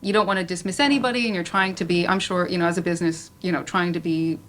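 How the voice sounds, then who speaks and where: A woman's voice: lowish voice, quick pace, a bit bright. A woman moderating an unscripted panel discussion, affirming and agreeing often as she responds. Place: public panel event, live audience. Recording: faint background room noise.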